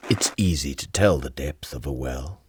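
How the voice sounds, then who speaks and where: A man's voice: very deep voice, moderate pace, background noise. A man reading short, isolated test sentences aloud from a script.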